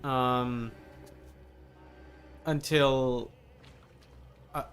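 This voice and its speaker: A man's voice: mid-range voice, steady tone, very slow, a bit bright, smooth. A man giving casual live commentary as he streams a video game, rambling and easily sidetracked. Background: game music.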